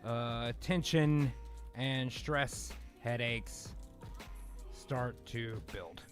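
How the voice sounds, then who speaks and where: A man's voice: mid-range voice, very slow. A man hosting and narrating an improvised radio comedy, mixing casual joking direction with scene-setting. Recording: faint music under the voice.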